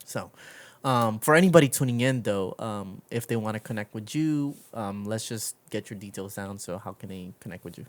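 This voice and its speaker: A man's voice: on the low side, lively intonation, moderate pace. A man hosting a podcast in a casual conversational style, speaking informally and feeling his way through his sentences. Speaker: podcast host.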